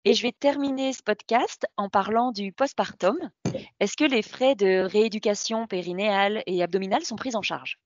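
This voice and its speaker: A woman hosting a podcast and asking measured, scripted interview questions. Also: mid-range voice, no echo. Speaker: podcast host. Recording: dull lo-fi sound, very dry.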